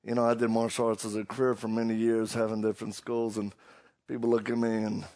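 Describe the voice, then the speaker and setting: Middle-aged cajun guy with a gravelly voice, all hyped up, cracking jokes at himself for a crowd. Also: lowish voice, monotone, quick pace, a little mellow. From Louisiana. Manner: hyped up, joking, self-deprecating storyteller. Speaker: preacher and motivational speaker. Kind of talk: humorous stage talk to a live audience.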